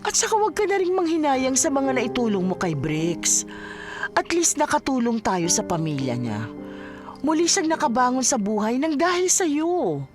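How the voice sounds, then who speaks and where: A high-pitched middle-aged mother acted by a woman in a radio drama. She is blunt, protective and quick to nag, sounding exasperated, with music playing clearly behind her. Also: sing-song. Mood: concerned, consoling. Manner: exasperated, nagging, colloquial. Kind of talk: Filipino radio drama acting. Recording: music bed under the dialogue.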